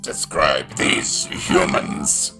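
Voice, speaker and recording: A man voicing a solemn, imperious alien in a fan audio drama, speaking slowly and formally in a booming, gravelly voice. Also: fairly even intonation, music in the background, full character voice. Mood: cold, measured. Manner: slow, terse, formal. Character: tall solemn blue-faced alien, slow and imperious.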